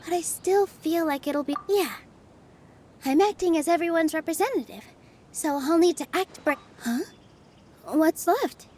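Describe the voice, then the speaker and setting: A woman playing a gentle, earnest young female theater dancer in a video game, speaking sincerely and thoughtfully. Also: very high-pitched, animated, measured pace. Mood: hesitant, thoughtful. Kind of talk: video game character dialogue.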